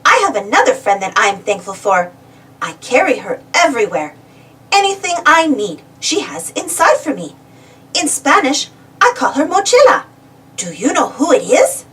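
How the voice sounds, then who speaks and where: A woman's voice: high-pitched, sing-song, moderate pace, a bit bright, distant mic. A woman reading a storybook aloud to young children, expressively and addressing the listeners directly. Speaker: storybook reader.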